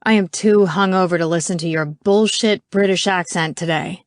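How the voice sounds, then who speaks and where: A woman's voice: lowish voice, fairly even intonation, moderate pace, no echo, clean studio sound, close to an everyday voice. A woman voicing a blunt, irritable young male gym teacher, hungover, in an audiobook. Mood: irritated.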